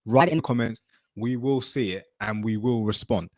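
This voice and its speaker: A man's voice: medium pitch, normal speed, dry recording, studio quality. A British man hosting a podcast, talking in an emphatic, slangy, opinionated way with some swearing. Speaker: podcast host; military veteran. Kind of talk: opinionated casual podcast chat.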